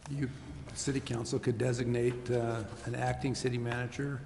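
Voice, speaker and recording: Casting: American man, city official, low-keyed and gravelly, careful hesitant phrasing, recorded in a reverberant meeting hall. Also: little pitch movement, normal speed. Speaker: city official advising a council. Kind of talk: formal public meeting talk. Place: council chamber. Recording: echoey hall, faint background noise.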